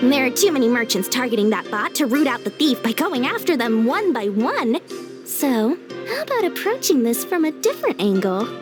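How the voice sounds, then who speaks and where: A woman's voice: sits high, very animated, moderate pace, over music. A woman playing a cunning, greedy young merchant with cheerful, theatrical sales patter in an anime game. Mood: sly, confident.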